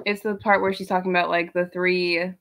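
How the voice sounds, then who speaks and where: A young adult woman chatting casually on a group video call, with an animated, teasing, complaining manner. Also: fairly low pitch, a little fast. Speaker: panelist on a reading livestream. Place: room at home, video call.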